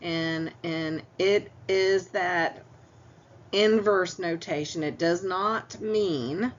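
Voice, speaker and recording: A woman teaching math in a recorded lecture, explaining each step patiently, with a stuffy nose and clearing her throat now and then. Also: lowish voice, very slow. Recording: dull lo-fi audio with faint hum.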